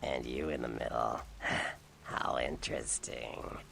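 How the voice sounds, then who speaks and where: An actor playing a seedy, cynical vampire informant in a video game, sardonic and streetwise in manner. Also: male voice, fairly even intonation, slow, bright, forceful tone, a little hiss or hum, exaggerated voice. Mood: sardonic, amused. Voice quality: gravelly, deep.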